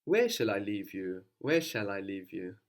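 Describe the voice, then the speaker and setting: A man's voice: fairly low pitch, very animated, measured pace. A man teaching a language lesson, patiently prompting his student with questions and repeating the answers back.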